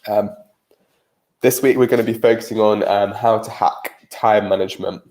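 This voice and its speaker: A man's voice: fairly low pitch, fairly even intonation, moderate pace, a little mellow, poor audio. A British man with a cold and a nasal voice, giving a live-streamed career webinar presentation with frequent ums.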